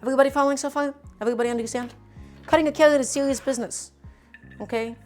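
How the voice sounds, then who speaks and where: A woman's voice: sits high, steady tone, rapid-fire, darkish tone, faint music behind. Playful young woman streaming her cooking. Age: 20s. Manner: playful, teasing, explains step by step while chopping. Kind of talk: casual cooking livestream, chatting with viewers.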